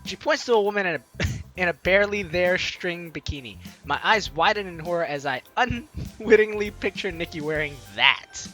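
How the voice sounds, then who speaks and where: Bright, animated female gaming host. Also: fairly low pitch, moderate pace. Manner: playful, hammy read-aloud with ad-libbed asides. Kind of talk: animated let's play, reading game narration and dialogue aloud. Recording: background game music behind voice.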